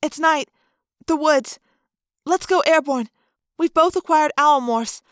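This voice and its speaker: Brief: woman reading a scared teen girl, high pitched and pleading, close dry mic. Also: takes it slowly, no room sound. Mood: urgent. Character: frightened, guilt-ridden teenage girl. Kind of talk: audiobook character voice.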